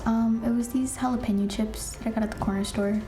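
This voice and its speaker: A woman's voice: a little high, fairly even intonation, a little fast, mellow, soft background music. A young woman from Boston, a student guest, curiously asking questions in casual chat. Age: young adult.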